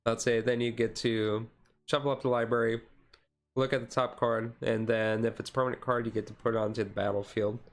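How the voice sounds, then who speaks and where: A man giving a casual, halting ASMR-style commentary as a streamer, explaining things in a rambling way. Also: mid-range voice, flat delivery. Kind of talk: casual card deck review commentary.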